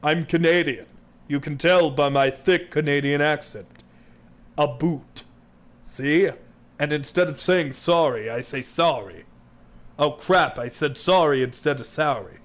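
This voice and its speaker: A man's voice: fairly high pitch, measured pace. A man in a casual let's-play, making goofy, self-mocking jokes.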